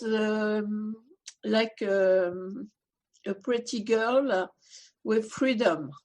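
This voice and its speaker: A woman with an Italian accent, a native Italian speaker, sharing in a workshop over a video call, halting and searching for her words. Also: medium pitch, a little mellow. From Italy. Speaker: participant.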